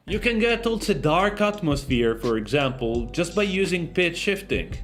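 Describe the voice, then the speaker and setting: A man's voice: fairly high pitch, very animated, moderate pace, a bit bright. A man who is a non-native English speaker, hosting an informal sound design tutorial in a joking, self-deprecating manner. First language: not English. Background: music.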